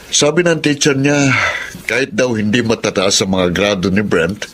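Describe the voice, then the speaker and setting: A man's voice: lowish voice, a bit bright, slight background noise. A man playing a dignified, grateful middle-aged father with a Filipino accent, firm but appreciative in a radio drama.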